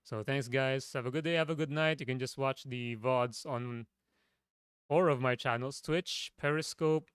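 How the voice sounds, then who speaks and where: A man with a low, smooth, clear voice rambles through a casual chat, stopping and restarting his thoughts. His voice is a little tired, and it is picked up close and dry at a home computer desk. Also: fairly even intonation, normal speed, a bit bright, no echo. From Philippines. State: voice tiring. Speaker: streamer.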